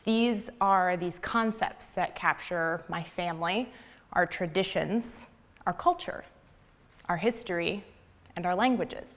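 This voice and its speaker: A young adult woman from the United States giving a prepared public talk in an earnest, measured way with deliberate pauses. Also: fairly low pitch. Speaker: graduate student speaker.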